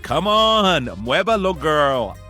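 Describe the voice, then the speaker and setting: A man's voice: a little high, big pitch swings, takes it slowly, bright, forceful tone, faint music behind, full character voice. A man playing a cheerful, showboating Mexican-American uncle in an audio drama, playful and exuberant. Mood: boisterous. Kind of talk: audio fiction drama acting.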